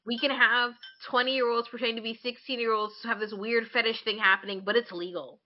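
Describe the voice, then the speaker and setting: A woman's voice: a little high, steady tone, brisk, lo-fi sound. A woman in her mid-twenties chatting casually as a podcast co-host, opinionated and emphatic, with blunt wording. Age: mid-20s. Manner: blunt, frequent swearing, emphatic.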